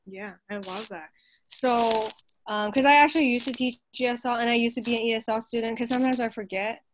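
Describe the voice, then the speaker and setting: A woman's voice: on the high side, steady tone, brisk, slight background noise, poor audio. A woman hosting a podcast, chatting in a bubbly, casual way full of fillers. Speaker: podcast host. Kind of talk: casual interview chat.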